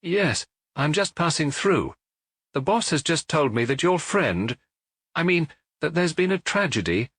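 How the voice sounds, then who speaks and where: A man's voice: a little high, sing-song, measured pace, fairly bright tone, husky, no room sound, clean studio sound, pitched above the performer's own voice, full character voice. A man voicing a reserved, watchful middle-aged former police superintendent, terse and non-committal, in an audiobook. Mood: tactful probing. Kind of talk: audiobook character dialogue.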